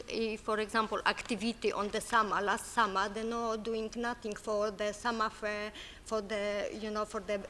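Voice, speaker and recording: A woman who is not a native English speaker asks a council a question at a public meeting, halting and searching for words. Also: on the high side, sharp tone. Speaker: resident asking a question. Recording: long hall reverb with faint noise.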